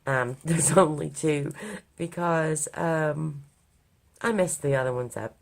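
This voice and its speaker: A woman from Kentucky chatting casually in a crafting vlog, hoarse and raspy from a cold, rambling with many ums. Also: deep voice, takes it slowly. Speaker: craft vlogger. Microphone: overhead camera on a craft table.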